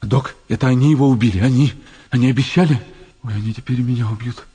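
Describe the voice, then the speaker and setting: Radio drama role: naive, frightened male convict. Husky mid-range voice, jittery, plaintive, colloquial delivery. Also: medium pitch, quick pace, mellow, big-room sound. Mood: panicked. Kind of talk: Soviet radio drama acting.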